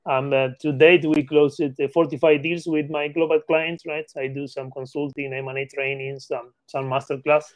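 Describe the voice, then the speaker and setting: Argentine guy, spanish accent, talks keen and halting, higher voice, dry sound. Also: flat delivery, dry recording. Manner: eager, repeats phrases, halting non-native English. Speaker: guest, M&A expert. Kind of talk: casual interview talk.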